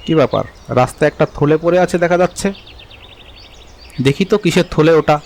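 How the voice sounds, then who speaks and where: A man's voice: on the high side, fairly quick, creaky, darkish tone, a little hiss or hum. A man playing a poor, henpecked but kindhearted village husband in a cartoon, given to grumbling and muttering. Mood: musing.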